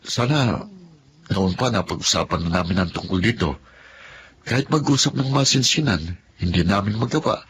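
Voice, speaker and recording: An actor playing a frustrated, blunt husband of thirty in a Filipino radio drama. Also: male voice, on the low side, animated, moderate pace, pauses often, a bit bright, a little hiss or hum. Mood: dejected, confiding. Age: 30s.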